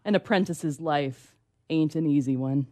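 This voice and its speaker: A woman's voice: deep voice, unhurried, dark tone, no room sound. A woman reading comic fiction as a hard-of-hearing, grumbling young apprentice to a mad scientist, with wry storytelling. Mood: aggrieved.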